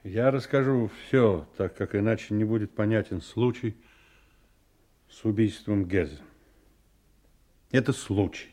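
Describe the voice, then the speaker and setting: An actor playing a weary, honest smuggler in a radio play, with a blunt, halting, resigned delivery. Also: male voice, lowish voice, darkish tone. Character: weary, honest male smuggler confessing to killing.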